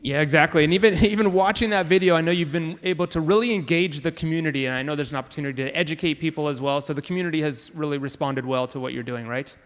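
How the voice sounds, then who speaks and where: Male panel moderator, upbeat, fairly high, hall reverb. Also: fast, few pauses. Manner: upbeat, enthusiastic.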